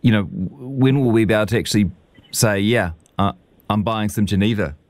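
Low-voiced new zealand radio host. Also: male voice, a little fast, dry recording. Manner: relaxed, chatty, says "mate". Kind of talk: radio interview.

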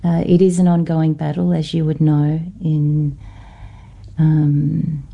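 A woman from Norfolk Island with an Australian-influenced accent, a guest academic in a radio interview, speaking thoughtfully with many pauses and fillers. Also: deep voice, flat delivery, creaky, muffled recording.

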